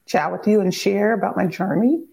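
A woman's voice: medium pitch, moderate pace, vocal fry, darkish tone, rough recording. A woman in her thirties, an author and advocate, telling her life story in a candid, upbeat way as a podcast guest. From Virginia, near Washington DC. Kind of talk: podcast interview.

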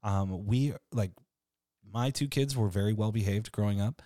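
A man in his thirties with a deep voice, hosting a casual podcast chat in a relaxed, freely swearing way. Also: moderate pace, no echo, studio quality. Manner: laid-back, swears freely, laughs often. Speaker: podcast host.

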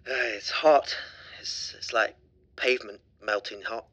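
Bright-voiced, awkward teenage boy. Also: fairly low pitch, steady tone, breathy edge, dry recording. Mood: nervous, hesitant. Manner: hesitant, stammering, halting. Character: awkward, tender teenage schoolboy, shy and unsure. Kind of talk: naturalistic audio drama dialogue.

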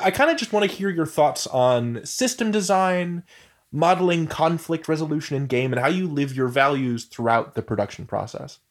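A man hosting a casual interview, putting questions to his guests. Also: a little high. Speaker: interview host.

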